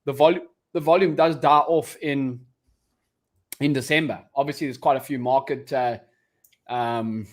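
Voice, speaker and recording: A man's voice: medium pitch, steady tone, normal speed. Hesitant male trader, casual chat. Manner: hesitant, restarts, fillers. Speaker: trader co-host.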